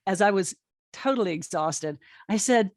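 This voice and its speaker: A woman in her sixties talks casually as a podcast guest. Her voice is medium in pitch, with a wry, storytelling way of speaking. The sound is dry, with little room in it. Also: lively intonation, normal speed, darkish tone, no echo. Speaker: podcast guest, audio drama producer. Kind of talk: casual conversational interview answers.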